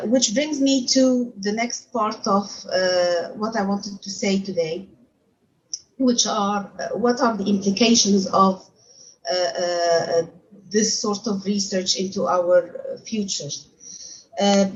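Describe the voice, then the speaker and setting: A woman in her thirties from Egypt, a native Arabic speaker, giving a talk as a university researcher from her home over a video call, with frequent fillers and restarts. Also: mid-range voice. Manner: hesitant, many filler sounds and restarts. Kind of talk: remote talk to an audience. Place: home room, seated in armchair. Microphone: webcam on video call. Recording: slightly dull lo-fi sound.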